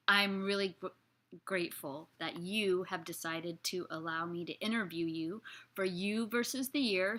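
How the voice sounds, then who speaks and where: Bright-voiced woman interviewer. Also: mid-range voice. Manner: enthusiastic, stumbles and restarts questions. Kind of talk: casual friendly interview.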